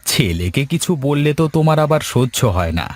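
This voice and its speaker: A man's voice: a little high, very animated, brisk. A man playing a grumbling but affectionate village husband in a dramatised moral story.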